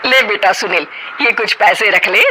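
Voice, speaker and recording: A woman's voice: mid-range voice, moderate pace, pushed and bright, a little hiss or hum, natural voice, rough recording. A woman playing a stern older mother-in-law. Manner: scolding, dismissive.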